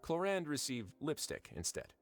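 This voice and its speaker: An actor playing a composed, dryly teasing prison overseer in a video game, nonchalant and wry. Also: male voice, fairly high pitch, big pitch swings, normal speed. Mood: matter-of-fact. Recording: faint game music behind the voice. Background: faint game music.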